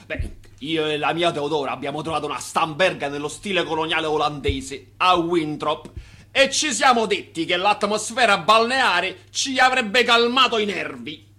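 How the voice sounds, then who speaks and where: An actor playing a brash, cocky sidekick to a crooked boss in an Italian radio play, chatty and swaggering. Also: male voice, fairly high pitch, animated, normal speed, bright, forceful tone. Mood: cocky, jaunty.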